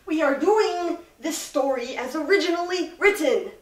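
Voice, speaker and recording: A woman's voice: high voice, animated, moderate pace. A woman narrating a student comedy, exasperated and insistent on telling the story as written. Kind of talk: comic narration. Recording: roomy, distant, faint noise.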